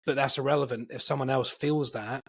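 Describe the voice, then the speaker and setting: A man's voice: mid-range voice, steady tone, brisk, gravelly, fairly bright tone, breathy edge. A man in casual podcast chat, pushing back and arguing his point. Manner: interrupting, argumentative. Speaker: podcast co-host.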